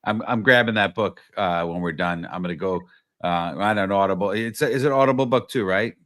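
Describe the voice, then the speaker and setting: A man's voice: lowish voice, fairly quick, fairly bright tone. A man hosting a livestreamed interview, thanking his guest enthusiastically. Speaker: host.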